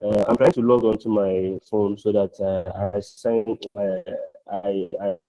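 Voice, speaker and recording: A man's voice: on the low side, steady tone, a little slow, mellow, dry recording. A man giving a university lecture online, putting questions to his class. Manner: questioning, draws answers from the class. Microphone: online call audio. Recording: dull lo-fi online call audio.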